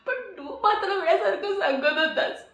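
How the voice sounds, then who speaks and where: A woman acting an emotional audition monologue as a remorseful young woman, tearful and halting as she pleads for forgiveness. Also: very high-pitched, expressive, a bit bright, exaggerated voice. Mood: guilty, distraught. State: crying. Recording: roomy, muffled, distant mic.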